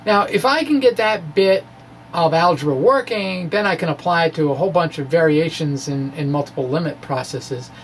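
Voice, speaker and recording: A man giving an informal, halting, wry reading of his physics blog, often pausing and amused at his own asides. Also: a little high. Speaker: amateur physics blogger. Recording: noisy room recording.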